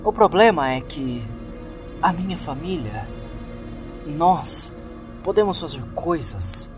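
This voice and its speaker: A Brazilian man voicing an earnest, troubled young woman who practises witchcraft, explaining herself haltingly in a horror story. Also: fairly high pitch, expressive, voice pushed up. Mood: confiding, uneasy. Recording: music bed behind the voice.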